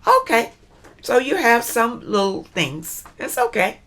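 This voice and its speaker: Woman, middle-aged, unhurried delivery, pitch rising and falling a lot, preachy and emphatic. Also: very animated, fairly bright tone. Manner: emphatic and preachy, repeats phrases for stress, addresses "ladies". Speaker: relationship advice channel host. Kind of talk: spoken advice monologue, reading viewer letters.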